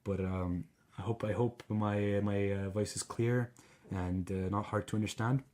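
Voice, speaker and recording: A man's voice: fairly low pitch, unhurried. A congested man co-hosting a podcast in a casual, hesitant chat with lots of fillers. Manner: relaxed, hesitant with many fillers. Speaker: podcast co-host. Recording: overdriven loud mic gain.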